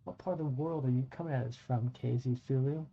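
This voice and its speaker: Middle-aged guy painting minis on stream, super dark mellow voice, swears a bit, dull lo-fi sound. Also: medium pitch, quick pace, gravelly. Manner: relaxed, rambling, swears casually, talks to chat. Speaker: streamer and dungeon master. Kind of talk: casual live-stream chat while painting miniatures. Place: home room by a window. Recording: dull lo-fi audio with faint music.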